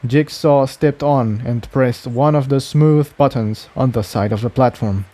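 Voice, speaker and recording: Male story reader, mid-range pitch, dark mellow tone, scripted fiction narration. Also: measured pace.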